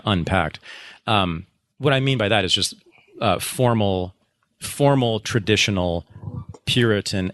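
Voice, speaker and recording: A man's voice: sits low, a bit bright, dry recording. A man talking at length in a live podcast discussion, circling back, correcting himself and laughing now and then. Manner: rambling, self-correcting, laughs often. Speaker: podcast guest, teacher.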